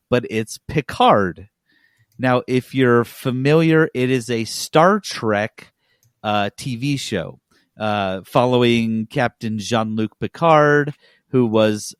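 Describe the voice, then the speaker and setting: A man's voice: medium pitch, takes it slowly, no room sound, studio quality. A man hosting a podcast in casual chat, speaking with enthusiasm and emphasis. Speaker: podcast host.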